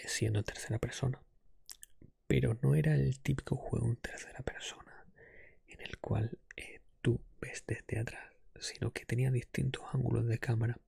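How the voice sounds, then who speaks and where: A man hosting an ASMR podcast monologue in a hushed, breathy whisper, explaining things hesitantly. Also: medium pitch, no echo, studio quality. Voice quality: breathy, mostly unvoiced whisper. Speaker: podcast host.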